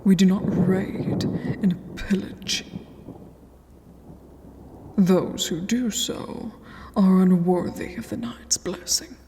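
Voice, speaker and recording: A woman voicing a regal, haughty, ageless vampire queen in a fantasy ASMR roleplay, speaking formally and imperiously in a breathy half-whisper. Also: fairly low pitch, fairly even intonation, slow, pauses often, background noise. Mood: proud, stern. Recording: long cavernous reverb.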